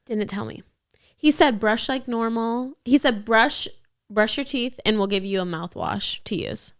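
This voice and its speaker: A woman's voice: a little high, a little slow, clear, resonant tone. A young adult woman co-hosting a podcast, telling a story in a chatty way and saying "like" a lot. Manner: chatty, heavy use of "like". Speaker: podcast co-host. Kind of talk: casual storytelling chat.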